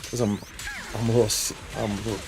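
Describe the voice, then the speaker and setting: A man's voice: fairly low pitch, flat delivery, quick pace, darkish tone, soft background music. A man streaming a game with casual, slangy live commentary, often repeating his own phrases.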